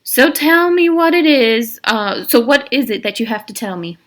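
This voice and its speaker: A woman reading aloud the part of a coolly menacing camp warden in a storybook, deliberate and falsely polite. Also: on the high side, lively intonation, a bit bright, voice pushed up. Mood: cool, commanding.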